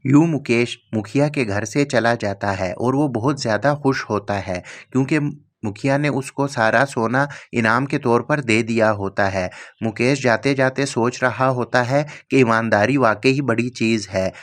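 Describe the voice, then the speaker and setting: A man's voice: medium pitch, normal speed, hardly any pauses, fairly bright tone. Plain-voiced man narrating a cartoon story. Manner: plain storyteller, speaks straight to the viewers. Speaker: narrator and voice of all the male characters.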